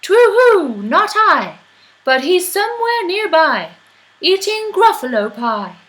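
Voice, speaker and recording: A helpful owl, played by a woman reader hoots in a high voice. The delivery lilts and swoops like a children's storybook creature. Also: very animated, slow, fairly bright tone, heavily acted, rough recording. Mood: airy. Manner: hooting, lilting.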